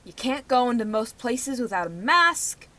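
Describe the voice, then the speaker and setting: A woman's voice: a little high, sing-song, moderate pace, sharp tone, dry recording. A young adult woman giving rambling personal commentary in a vlog, speaking in an emphatic, outspoken way. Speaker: vlogger.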